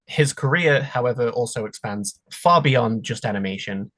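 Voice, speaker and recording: A man hosts an interview, asking questions in a measured, scripted way. His middle-pitched voice is dry and close, with no room around it. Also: expressive, a bit bright, no room sound. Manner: measured, scripted-sounding introductions. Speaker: interview host. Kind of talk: hosted interview.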